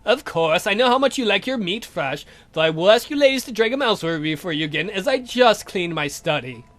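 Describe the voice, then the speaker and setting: Man voicing a lively, cheeky, menacing count. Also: sits high, a little fast. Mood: brisk, courteous. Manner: polite, theatrical, condescending. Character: suave, cheeky, menacing count of an eccentric family.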